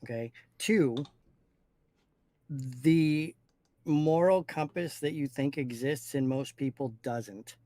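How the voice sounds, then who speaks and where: A man's voice: medium pitch, takes it slowly, dark tone, no room sound. A man in casual, opinionated talk, blunt and emphatic, laying out his points firmly.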